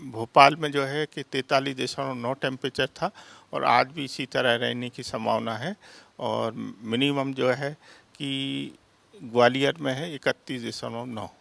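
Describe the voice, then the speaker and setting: A male weather expert with an Indian accent talks in a creaky voice of average pitch. He explains haltingly, dropping in English technical terms. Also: steady tone, a bit bright, no room sound. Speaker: weather scientist being interviewed.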